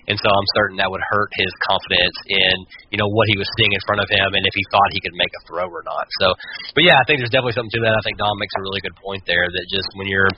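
Lowish guy doing sports podcast talk, talks really fast, dull noisy recording. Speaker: podcast host. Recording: dull lo-fi sound with clear noise.

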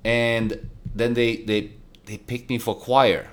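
A man with a deep voice co-hosting a podcast, chatting casually and telling playful stories. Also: slow pace. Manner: animated storyteller, playful. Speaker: podcast co-host. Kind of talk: casual chat.

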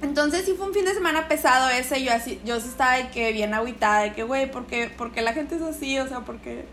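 A young woman with a Mexican accent talks in an easy, slangy interview chat. Her voice sits a little high, and she acts out other people's lines as she tells a story. Faint noise hums behind her. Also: quick pace, a bit bright. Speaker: guest.

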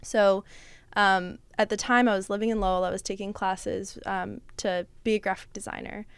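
A young woman chatting as a podcast guest, her voice smooth and clear with a dry, close sound. She talks loosely, with lots of "like" and "um". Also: medium pitch, fairly even intonation, moderate pace, no echo. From Russia. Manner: chatty, many fillers like "like" and "um", laughs often. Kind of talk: casual chat.

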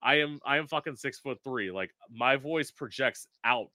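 Male host, sharp bright tone, frank and profane, dry close-miked read. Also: medium pitch, moderate pace, no room sound. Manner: frank and brassy, swears freely. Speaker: interview host. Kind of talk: casual interview chat.

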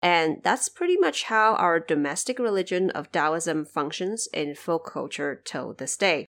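A woman's voice: on the low side, lively intonation, measured pace, a bit bright. A woman from China hosting an explanatory podcast, speaking in an informal, wry way. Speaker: podcast host. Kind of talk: explanatory podcast commentary on folklore.

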